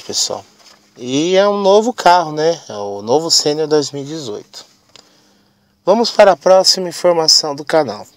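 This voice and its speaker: A middle-aged man hosting his channel with a hoarse voice from a cold, chatting casually and often tagging his sentences with "né". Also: on the high side, no echo, studio quality. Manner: chatty, frequent "né" and "pessoal" tags. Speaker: channel host. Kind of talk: casual vlog news.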